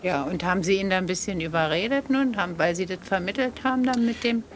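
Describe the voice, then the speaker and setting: A woman's voice: medium pitch, fast talker, a little hiss or hum, close to an everyday voice. An actress playing a pressing woman interrogator in a naturalistic radio drama.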